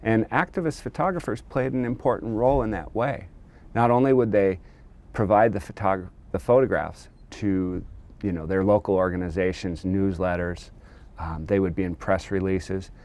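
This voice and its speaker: A man speaking as an interviewed historian, explaining in an earnest, measured way. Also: deep voice, steady tone, a little mellow. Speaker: historian and author being interviewed.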